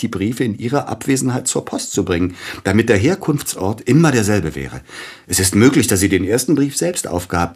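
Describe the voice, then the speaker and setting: Brooding, low-voiced, husky grieving widower. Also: male voice, darkish tone. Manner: brooding, formal, hesitant, swelling into agitation. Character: grieving, jealous widowed male pianist, courteous but probing. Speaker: radio drama actor. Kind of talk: German radio play acting.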